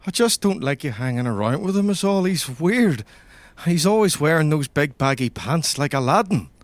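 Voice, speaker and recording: A man's voice: fairly high pitch, sing-song, normal speed, no echo. A man voicing a timid, hesitant village shopkeeper with an Irish accent in a tabletop game. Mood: wary. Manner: hesitant, stammering. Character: timid, stammering male village hardware shopkeeper.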